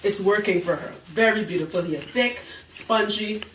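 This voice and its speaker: A woman's voice: medium pitch, moderate pace, background noise. A woman hosting a casual live stream about hair care, blunt and teasing, who laughs often. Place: indoors in a room, live broadcast. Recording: roomy, distant live-stream audio.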